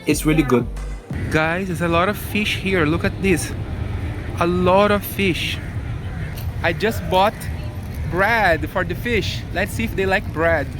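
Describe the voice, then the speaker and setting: A man's voice: high voice, a little slow, long pauses. A Brazilian man with a Brazilian Portuguese accent, chatting casually to his viewers on a walking travel vlog. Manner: chatty, addresses viewers directly. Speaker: travel vlogger. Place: outdoors in a city street. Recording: outdoor vlog with music behind. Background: music.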